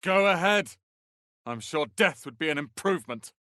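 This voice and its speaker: Haughty young wizard, close and dry. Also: male voice, a little high, lively intonation, normal speed, dry recording. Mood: bitter. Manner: haughty, theatrical, sharp-tongued. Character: proud, prickly young male wizard's apprentice. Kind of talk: fantasy video game voice acting.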